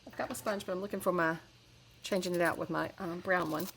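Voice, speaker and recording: A woman's voice: lowish voice, steady tone, quick pace, noisy background. A woman with a head cold, chatting casually through a crafting tutorial with lots of fillers and restarts. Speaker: crafting channel host.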